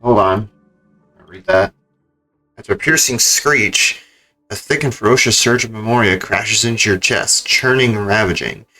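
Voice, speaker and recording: A man's voice: fairly low pitch, normal speed, sharp tone, soft background music, low-quality recording. A man livestreaming a video game, commenting and reading the story text aloud.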